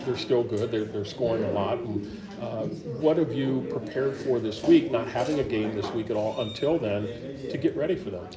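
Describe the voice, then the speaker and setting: A man's voice: medium pitch, moderate pace. Middle-aged guy host rambling thru questions, lots of uhs, echoey gym, crowd noise behind. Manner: chatty, rambling questions with fillers. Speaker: local sports show host. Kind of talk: casual sports interview. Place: high school gym.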